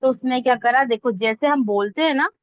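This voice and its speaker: A woman's voice: sits high, brisk. A woman instructor giving an informal step-by-step tutorial, talking conversationally and checking that listeners follow. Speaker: instructor teaching reel editing online. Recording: dull lo-fi close dry audio.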